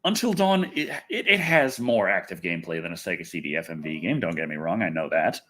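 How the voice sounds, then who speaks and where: A man's voice: low-pitched, a little fast. A man hosting a casual gaming and movie news livestream, rambling and often interrupting himself as he talks. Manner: rambling, animated, self-interrupting. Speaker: livestream host.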